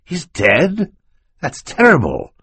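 A stern, fussy gentleman, put on by a male audiobook reader, talks in a raised, husky voice with lively swings. He sounds pedantic and easily affronted. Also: a little high, takes it slowly, pitched above the performer's own voice, full character voice. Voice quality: punctuated by coughing. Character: stern, fussy, coughing adult male family guardian.

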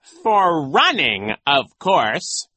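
A sly, gloating villain posing as a gym teacher. He drags his words out slowly and theatrically, pitched well above a plain voice and swooping widely. It is storybook menace, loud and relishing. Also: wildly swooping pitch, no echo, full character voice. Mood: sly, feigned politeness. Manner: slow, drawn-out, theatrical delivery.

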